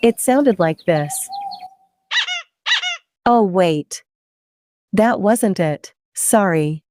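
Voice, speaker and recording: A woman's voice: fairly low pitch, lively intonation, extremely slow, noisy background. A woman hosting story time for children, playful and chatty, putting questions to her young listeners.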